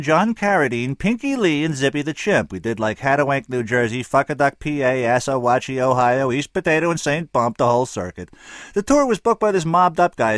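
A man voicing a chatty young male jazz pianist in his twenties who tells rambling stories loudly. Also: mid-range voice, dry recording, studio quality, voice pushed up, lightly acted. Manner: loud rambling storyteller.

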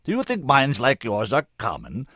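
Man acting a weighty, very deep old senator. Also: sing-song, no room sound. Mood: thundering, commanding. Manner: slow, deliberate, thundering when roused. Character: old male senator, weary, commanding, gravely kind.

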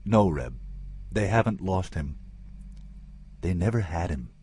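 A man reading an audiobook, voicing a grim, loyal man who speaks quietly and haltingly. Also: sits low, expressive, breathy edge, full character voice. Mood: grave.

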